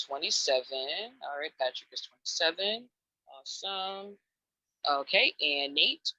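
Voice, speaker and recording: A woman's voice: sits low, lively intonation, pushed and bright, no room sound, poor audio. A woman hosting a dating show call-in, chatty and upbeat, cheering each guest on. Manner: upbeat, answers each guest with a quick "awesome". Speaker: host. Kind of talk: casual chat, running a call-in dating show.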